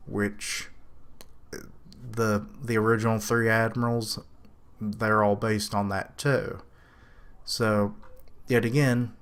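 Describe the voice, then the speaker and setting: Casual male reviewer, low-pitched, rambling, self-correcting. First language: English. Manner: lifts his pitch to quote characters.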